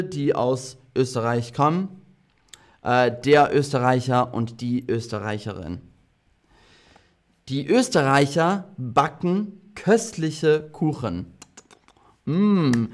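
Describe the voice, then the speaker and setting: Very slow, deliberate male language teacher. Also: a little high. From half German, half British. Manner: slow, deliberate, repeats words for learners. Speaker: German teacher. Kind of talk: slow classroom language lesson.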